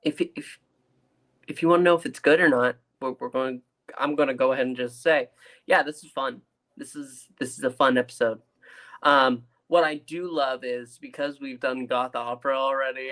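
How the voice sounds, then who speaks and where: A man's voice: mid-range voice, fairly bright tone. A man speaks as co-host of a review podcast. His delivery is informal and enthusiastic, like a fan talking things over in a casual chat.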